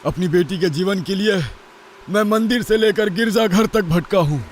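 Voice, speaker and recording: A man's voice: high voice, normal speed, slight background noise, voice pushed up, close to an everyday voice. A man voicing a firm, protective, desperate father in a horror cartoon story, with dramatic delivery. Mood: resolute.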